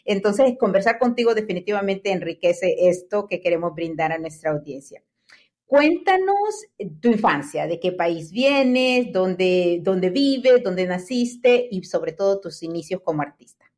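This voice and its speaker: A woman's voice: mid-range voice, animated, measured pace. Effusive woman podcast host. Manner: effusive, enthusiastic, warmly praising. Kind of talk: interview hosting.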